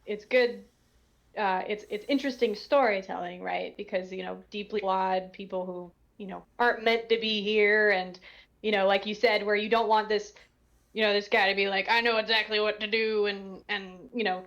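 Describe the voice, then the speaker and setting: A woman's voice: mid-range voice, fairly bright tone. Woman on a dull lo-fi mic. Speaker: panelist in a cast and crew commentary. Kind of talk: casual group discussion. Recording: dull lo-fi microphone sound.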